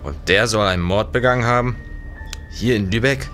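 A man's voice: fairly low pitch, fairly quick, fairly bright tone, faint music behind, lightly acted. A man playing a big, nervous, shaken traveller in a period crime radio play, speaking in agitated, formal questions. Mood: uneasy, probing. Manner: agitated, questioning, formal old-fashioned speech. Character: big, nervous, shaken male traveller looking for his friend. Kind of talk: German period crime audio drama.

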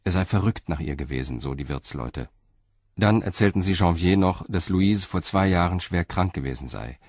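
A man narrating a scripted crime story as a radio drama narrator, in a sober, measured manner. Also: low-pitched, lively intonation, darkish tone, dry recording, clean studio sound.

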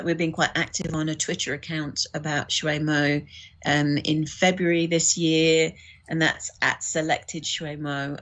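A woman's voice: deep voice, fairly bright tone. A woman chatting as a podcast guest, explaining things informally and a little hesitantly. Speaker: podcast guest, audiobook producer. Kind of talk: casual interview chat.

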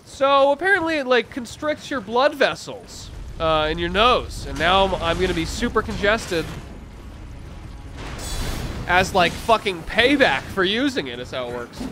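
Young male streamer, stuffy nasal voice, high and animated, loud game sound under him. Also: unhurried, background noise. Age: young adult. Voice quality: nasal, congested. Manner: rambling, swings from mumbling to loud outbursts. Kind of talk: live gameplay commentary. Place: small home room.

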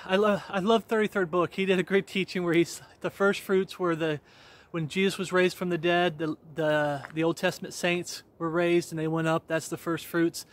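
A middle-aged man with a slightly higher voice preaches as he walks along a wooded country road. He exhorts his listeners in a repetitive, earnest way, full of fillers and restarts. The sound comes from a camera he holds at arm's length outdoors. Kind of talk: walk-and-talk devotional preaching. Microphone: handheld camera at arm's length.

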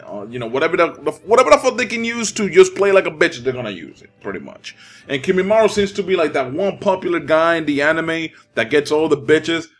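A man's voice: medium pitch, a bit bright. Profane young man ranting over a game. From Dominican Republic. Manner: profane, ranting, easily irritated. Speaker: gameplay commentator. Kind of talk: casual gaming commentary.

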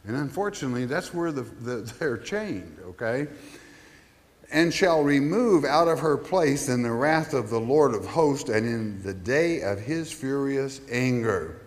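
Male pastor, mid-pitched, chatty teaching delivery with scripture readings, long hall echo. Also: animated, a little slow. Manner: conversational, asks the listeners questions, reads scripture aloud. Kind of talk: Bible study teaching.

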